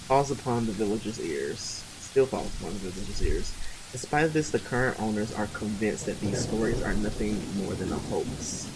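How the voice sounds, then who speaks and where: A man's voice: lowish voice, very noisy. A man streaming a horror visual novel, reading the narration and cracking loose, slangy jokes between lines. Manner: loose, joking asides with slang. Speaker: game streamer. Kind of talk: reading a visual novel aloud with casual commentary. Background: game music, rain and thunder.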